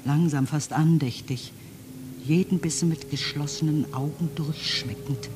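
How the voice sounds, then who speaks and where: A man acting a solemn poetic monologue in a radio play, an anguished, accusing figure addressing mankind, with dramatic declamation that falls into hushed tones. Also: fairly high pitch, unhurried, a little hiss or hum, lightly acted. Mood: fervent, accusing. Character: anguished male speaker reciting a fervent accusatory address to mankind. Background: music.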